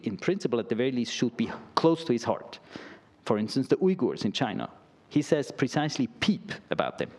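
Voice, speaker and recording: A middle-aged man lecturing in an academic setting, and the voice sits at an ordinary middle pitch for a man. He talks in a loose, conversational way, checking in with his listeners through "right?" and "you know?" as he goes. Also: darkish tone. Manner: conversational, peppered with "right?" and "I mean".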